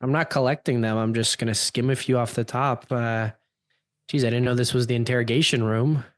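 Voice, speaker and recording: A man's voice: mid-range voice, steady tone, fairly quick, dry recording, clean studio sound, lightly acted. A man playing an exasperated, protective teenage older brother in a radio play, slangy and defensive.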